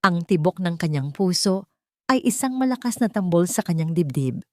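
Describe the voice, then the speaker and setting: A Filipino woman reads a dramatic romance in a fairly low voice. Her storytelling is measured and expressive, and the sound is close and dry, with very little room. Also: dry recording. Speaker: narrator of romance stories. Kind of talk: scripted dramatic audio story narration.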